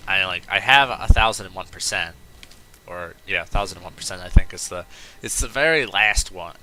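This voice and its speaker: A man's voice: deep voice, fairly quick, sharp tone, slight background noise. A man hosting a let's play, chatting casually and rambling into off-topic asides while he plays. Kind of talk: casual gameplay commentary.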